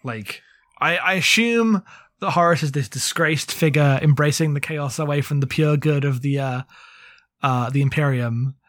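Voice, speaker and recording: A podcast co-host talking casually and informally about a book, animated and given to swearing. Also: mid-range voice, moderate pace, no room sound, studio quality.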